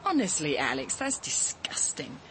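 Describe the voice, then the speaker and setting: A woman's voice: deep voice, huge pitch swings, moderate pace, a bit bright, a little hiss or hum, exaggerated voice, low-quality recording. An actress playing a blunt, defiant young mother in a radio drama, colloquial and challenging, with a British accent.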